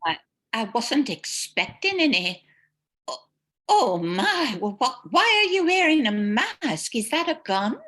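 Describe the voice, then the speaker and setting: Anxious innocent woman, radio melodrama, actress, high, drawn-out, very animated delivery. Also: exaggerated voice. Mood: frightened. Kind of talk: melodramatic 1940s radio acting.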